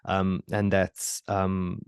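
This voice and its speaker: Brief: podcast host, man, lowish voice, halting delivery full of ums, dry close sound. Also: monotone, clean tone, dry recording. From southern Italy. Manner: hesitant, many fillers and restarts. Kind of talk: casual interview chat.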